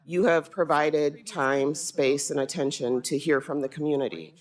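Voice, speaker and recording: A woman's voice: deep voice, steady tone. Composed woman speaking at public comment. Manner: composed, firm, measured. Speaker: school board candidate. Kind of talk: public comment at a school board meeting. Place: school board meeting room.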